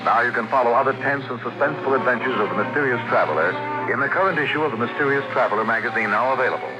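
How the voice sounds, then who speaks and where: Loud music plays under a vintage radio broadcast. An American man with a mid-range voice announces formally, with measured emphasis. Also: mid-range voice, low-quality recording. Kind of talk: 1940s radio announcing, scripted. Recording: old radio broadcast recording. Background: loud dramatic music.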